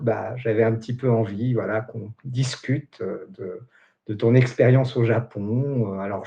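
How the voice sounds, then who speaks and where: Joking mellow-voiced male host. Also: medium pitch, highs cut off. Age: 40s. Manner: joking, chatty, many fillers. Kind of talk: casual interview chat.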